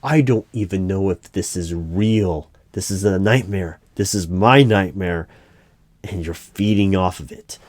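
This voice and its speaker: Low male voice, man playing an exasperated interviewer, halting delivery, uneasy, ASMR audio roleplay. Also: a little mellow, no echo. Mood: resigned, uneasy. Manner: halting, repeating words. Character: unnerved, exasperated male hiring manager interviewing a nightmare. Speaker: hiring manager character in an audio roleplay.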